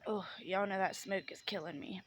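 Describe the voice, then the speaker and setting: A woman's voice: medium pitch, steady tone, normal speed, background noise. Congested woman vlogging outdoors. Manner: chatty, rambling with many ums. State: congested, sick. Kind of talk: casual vlog chat. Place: outdoors on a porch by a grill.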